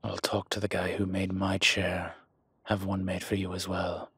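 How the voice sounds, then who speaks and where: An actor playing a commanding, teasing, protective dragon mob boss in an intimate audio drama, with a husky, breathy voice and deliberate delivery. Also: male voice, sits low, monotone, fairly bright tone, exaggerated voice. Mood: tender, proud.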